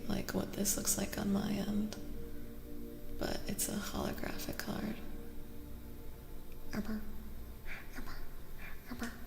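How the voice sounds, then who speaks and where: A woman streaming a soft-spoken ASMR card reading, chatting casually and gently in a breathy voice that often drops to a whisper, repeating words soothingly. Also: mid-range voice, reverberant, big-room sound, background noise. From Eastern Europe. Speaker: streamer host giving tarot readings.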